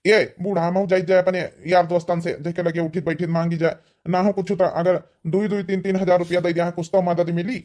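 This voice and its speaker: A woman puts on an elderly Awadhi village father-in-law in a cartoon comedy. The tone is dark and rather low. The old man sounds worried yet practical about helping the family. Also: little pitch movement, a little fast, close to an everyday voice.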